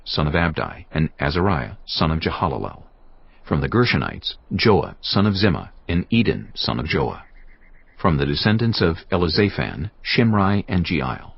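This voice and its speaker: A man narrating scripture from a script in a solemn, measured manner. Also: very deep voice, gravelly, breathy edge, no room sound, dull audio.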